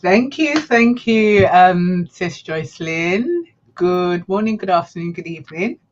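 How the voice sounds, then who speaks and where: A woman's voice: lowish voice, expressive, unhurried, slight background noise. Ghana-born woman on dull lo-fi audio. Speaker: panelist. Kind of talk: casual panel discussion.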